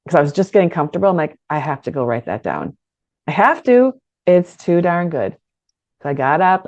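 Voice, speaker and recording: A middle-aged woman with a low voice teaches an online course for business owners. She talks in a conversational, energetic way and often stumbles and restarts her sentences. The sound is dry and slightly dull. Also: no echo. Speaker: business coach.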